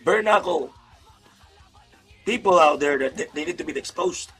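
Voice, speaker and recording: A man's voice: fairly high pitch, expressive, a little fast, a bit bright, breathy edge, faint music behind. A man hosting a casual interview over a video call, friendly and rambling, laughing often. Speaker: YouTube host, interviewer.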